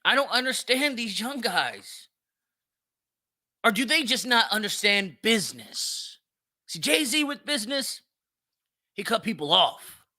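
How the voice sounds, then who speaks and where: An American man with a high, very forceful voice hosts a solo commentary show. His pitch moves around a lot as he talks. He is blunt, slangy and profane, leaning on repetition and rhetorical questions. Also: very animated, a little slow, long pauses. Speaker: podcast host. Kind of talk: opinionated solo commentary on celebrity news.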